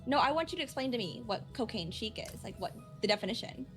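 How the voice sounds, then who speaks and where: A woman with a fairly high voice playing in a tabletop game. She keeps jumping in with playful questions and quick asides. Faint music plays in the background. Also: animated. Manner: playful and interrupting, jumps in with asides. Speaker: player.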